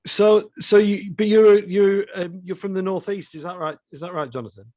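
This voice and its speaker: Man, fairly high voice, podcast host, conversational questioning, hesitant phrasing, dry recording. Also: steady tone, moderate pace, mellow, no room sound. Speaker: podcast host interviewing a musician. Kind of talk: conversational interview.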